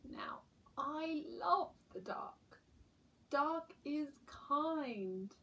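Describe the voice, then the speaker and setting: A kindly old lady from a storybook played by a woman reader, contented and dreamy. She speaks very slowly in a raised, wistful voice, stretching out her words. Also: sits high, lively intonation, pushed and bright, far from the mic, pitched above the performer's own voice, heavily acted. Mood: dreamy, fond. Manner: slow, drawn-out, wistful.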